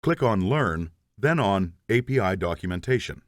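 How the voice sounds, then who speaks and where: Lively low-voiced man teaching code. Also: moderate pace, dry recording, clean studio sound. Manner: methodical, explains step by step. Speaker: programming instructor. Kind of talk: scripted tutorial narration.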